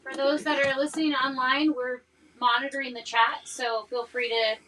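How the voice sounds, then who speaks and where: Woman hosting a casual live stream, high bright voice, informal n playful, a bit far from the mic w faint noise. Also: flat delivery, normal speed. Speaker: host of a live music session. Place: small container home with select audience.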